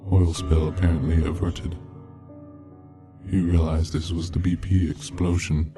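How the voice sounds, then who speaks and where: A man narrates an eerie tale in a very low voice that barely rises or falls. His delivery is scripted, measured and deadpan. Music plays clearly behind him. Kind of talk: scripted horror story narration. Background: background music from game soundtrack.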